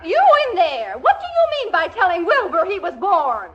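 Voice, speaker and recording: A woman's voice: wildly swooping pitch, moderate pace, exaggerated voice. A woman playing an indignant, scolding mother in a 1940s radio comedy drama. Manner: shrill, indignant. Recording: muffled, very noisy 1940s radio recording.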